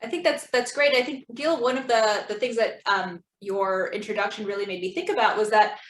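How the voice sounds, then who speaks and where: A woman acts as moderator of an online panel, speaking in a measured and polite conversational style. Her mid-pitched voice comes with a noticeably roomy echo. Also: fairly even intonation. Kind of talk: moderating a webinar discussion. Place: indoor room, online webinar. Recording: roomy, echoing webinar audio.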